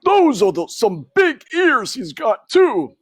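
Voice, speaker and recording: A woman's voice: fairly high pitch, sing-song, slow pace, slightly rough, dry recording, clean studio sound, pitched above the performer's own voice, exaggerated voice. Woman putting on a blunt, gossipy uncle mouse. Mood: mocking.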